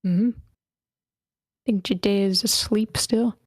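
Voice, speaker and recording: A woman's voice: mid-range voice, fairly even intonation, extremely slow, darkish tone, no echo, clean studio sound. A woman hosting an online table read, giving informal, self-deprecating feedback and swearing casually. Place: online group meeting.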